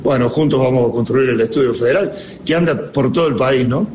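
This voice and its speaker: A man's voice: mid-range voice, brisk, a little mellow, a little hiss or hum. Friendly Argentine radio interviewer man. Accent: Argentine Spanish. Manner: friendly, conversational questioning.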